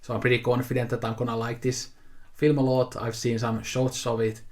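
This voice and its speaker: A man's voice: mid-range voice, little pitch movement, brisk, darkish tone. A man of twenty-eight, talking casually to camera about things he got, in an easygoing, rambling way. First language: Finnish. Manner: easygoing, rambling, with frequent fillers and restarts. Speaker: video host showing a haul. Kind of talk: casual unscripted talk to camera.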